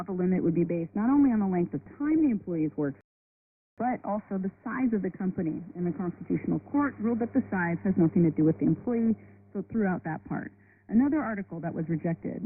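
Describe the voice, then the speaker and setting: A woman radio correspondent delivering a scripted, explanatory news report. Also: medium pitch. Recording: tinny shortwave radio with faint noise.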